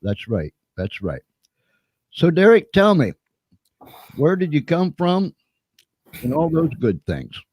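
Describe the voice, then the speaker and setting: An upbeat man hosts a live interview show, pumping up the talk between questions. His voice is mellow and warm in tone. The sound is dry and close, with no room echo. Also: on the high side, slow, long pauses, husky. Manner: upbeat, energetic host patter. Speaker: show host.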